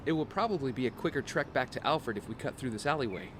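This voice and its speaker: An actor playing a calm, protective family father in an audio drama, soothing and steady. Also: medium pitch, quick pace, close to an everyday voice. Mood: affectionate. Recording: noisy city street ambience.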